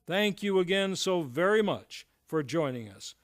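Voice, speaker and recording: A man's voice: high-pitched, lively intonation, unhurried. A man working as a radio show announcer, reading scripted credits in a formal, polished old-time radio style. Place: live on a theater stage.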